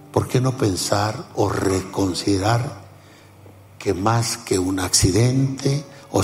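A man in his seventies preaching a sermon, unhurried and emphatic, sometimes laughing at himself. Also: lowish voice, a little mellow, in a large hall. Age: 70s.

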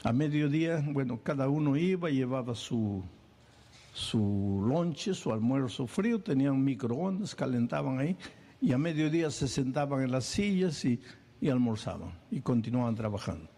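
A man preaching a sermon, animated, acting out little scenes and firing off questions. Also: medium pitch, normal speed.

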